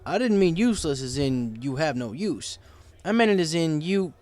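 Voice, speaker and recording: A wry male pony bartender from a storybook, played by a man. He talks in a casual, consoling, teasing manner, with very lively swings of intonation. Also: on the high side, normal speed, pitched above the performer's own voice. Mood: placating. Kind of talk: audiobook character dialogue.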